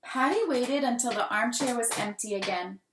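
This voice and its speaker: A woman reading a children's picture book aloud with deliberate, dramatic emphasis and expressive delivery. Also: fairly high pitch, noisy background. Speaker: children's storybook reader. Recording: roomy, echoing room sound.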